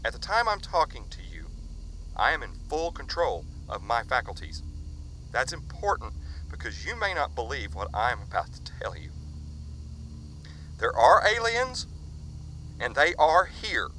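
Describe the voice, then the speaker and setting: An actor playing a middle-aged father who records an urgent warning tape for his son, speaking in a halting, earnest way. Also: mid-range voice, sing-song, pushed and bright, a little hiss or hum, rough recording. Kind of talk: audio drama monologue.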